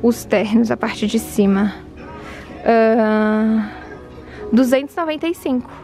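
A woman with a fairly high voice talks casually to her viewers while she films her way through a big department store. She reads out prices and discounts and adds quick opinions as she goes. Faint store music plays behind her. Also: dark tone. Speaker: shopping vlogger. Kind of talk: casual walk-through vlog. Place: large department store, indoors.

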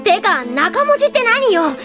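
Sweet, excitable teenage girl: extremely high, girlish anime drama voice. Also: measured pace, music in the background, full character voice. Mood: exasperated. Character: sweet, easily flustered teenage schoolgirl. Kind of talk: anime audio drama acting.

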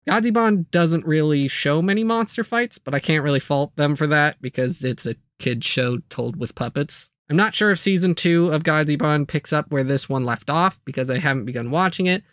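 A man with a somewhat high-pitched voice narrates as the host of a video channel. The sound is dry, with very little room in it. His scripted delivery is broken up by light, self-aware asides. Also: fairly bright tone, dry recording.

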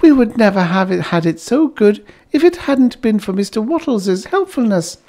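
A man's voice: sits high, sing-song, moderate pace, dark tone, pitched above the performer's own voice. A man voicing a chirpy, adoring pioneer wife in an audiobook story, in a gushing, wide-eyed manner. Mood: gushing delight.

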